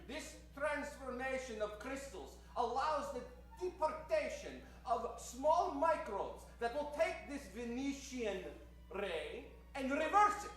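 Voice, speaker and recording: A performer playing an earnest scientist in improvised radio comedy, giving halting, grandiose pseudo-scientific explanations. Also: sits high, expressive, a bit bright, very echoey, distant mic, faint music behind, full character voice, rough recording. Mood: insistent. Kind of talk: improvised old-time radio comedy acting.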